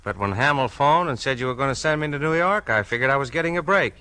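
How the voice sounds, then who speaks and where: Bright-voiced, rueful crooked American cop. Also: male voice, medium pitch, lively intonation, fast, smooth. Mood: resigned. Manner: slangy, folksy, rueful. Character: folksy crooked policeman, resigned to being caught. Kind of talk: 1940s American radio crime drama acting.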